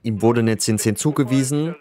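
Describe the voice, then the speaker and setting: A man's voice: medium pitch, moderate pace, a loud crowd. A man playing a police officer in a game, chatting casually and a little grumpily about his shifts. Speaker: police officer player, streamer. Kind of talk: casual roleplay chat in a game.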